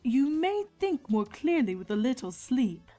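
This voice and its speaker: A woman voicing a calm, wise female zebra herbalist who speaks in measured rhyme in a cartoon fan fiction audio drama. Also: high voice, heavily acted. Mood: soothing. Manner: measured, speaks in rhyming couplets. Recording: music behind the voice.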